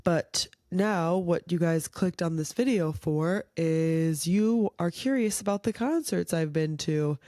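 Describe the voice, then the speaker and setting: A young woman chats on her own podcast in a loose, rambling way, full of "like" and side tangents. A lingering cold leaves her slightly nasal and raspy, recorded close and dry with no room sound. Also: lowish voice, takes it slowly, hardly any pauses, mellow, no room sound. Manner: chatty, rambling, lots of "like". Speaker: podcast host. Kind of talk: casual solo podcast chat.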